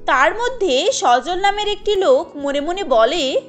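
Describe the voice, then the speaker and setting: A woman's voice: extremely high pitch, animated, measured pace, faint music behind. A woman narrating a cartoon family tale in a scripted storybook style.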